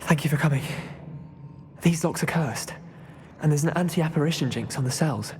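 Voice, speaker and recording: Casting: weary male wizard prisoner, very husky and deep, measured, in long reverb. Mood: weary, grateful. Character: weary, dignified imprisoned wizard, married man. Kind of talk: video game voice acting, scripted dialogue. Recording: long echoing stone-chamber reverb.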